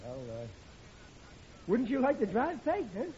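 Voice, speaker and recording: A man's voice: extremely high pitch, huge pitch swings, a little slow, warm tone, heavily acted. An actor playing a blustery, bumbling working-class husband and father who stammers and mangles his grammar in an old radio sitcom. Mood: reluctant, nervous. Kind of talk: 1940s-50s radio sitcom acting. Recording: dull old radio recording, noisy audience.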